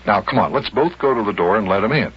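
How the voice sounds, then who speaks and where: Hearty old-time radio host guy, folksy, bit raspy, very up n down, dull old recording. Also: on the low side, fast. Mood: patient. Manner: animated, folksy, storytelling. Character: hearty, patient grown-up man, guardian to boy. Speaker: host and lead of children's radio serial.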